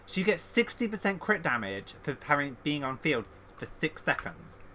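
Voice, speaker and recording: A woman's voice: low voice, big pitch swings, moderate pace, sharp tone, a little hiss or hum. A woman streaming a video game with casual, chatty, slangy commentary, reacting aloud as she plays.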